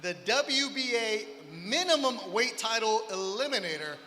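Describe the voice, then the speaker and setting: A man hosting a boxing press conference, introducing fighters with energetic, hype announcing. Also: high-pitched, measured pace. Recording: reverberant hall with faint background noise.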